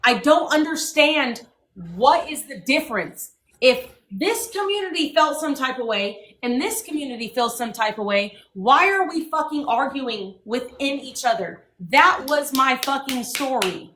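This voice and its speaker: High, bright-voiced woman ranting. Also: animated, a little slow, roomy sound. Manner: profane, ranting, emphatic. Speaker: livestream host. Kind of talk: heated livestream talk.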